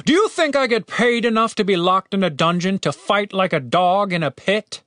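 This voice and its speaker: A man's voice: very high voice, huge pitch swings, a little slow, fairly bright tone, dry recording, studio quality, pitched above the performer's own voice, heavily acted. A man voicing a cynical, exasperated leader of dungeon guards who speaks bluntly.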